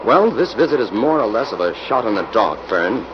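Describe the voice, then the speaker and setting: A man's voice: on the low side, very animated, fairly quick. A man playing a composed, determined investigator in an old-time radio drama. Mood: businesslike. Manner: composed, probing, businesslike. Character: calm, determined male investigator working with police. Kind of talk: 1940s radio acting. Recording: muffled, noisy old radio recording.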